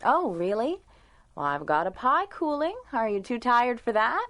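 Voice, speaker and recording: An actress playing a sweet, caring young girlfriend in a 1930s radio drama, chatting in a folksy, gently teasing way. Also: on the high side, big pitch swings, normal speed, no echo. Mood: cheerful, concerned.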